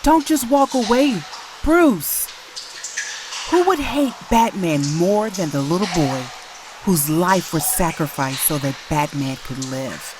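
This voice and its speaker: Slow-speaking, pleading worried woman played by an actress. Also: on the low side, animated, noisy background. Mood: confronting, urgent. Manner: earnest, emotional, pleading. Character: worried, pleading adult woman, the vigilante's lover. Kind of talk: dramatic comic-book voice acting.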